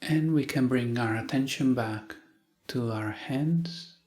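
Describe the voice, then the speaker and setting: A man leading a guided meditation in short, calm phrases with long pauses. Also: medium pitch. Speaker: meditation teacher.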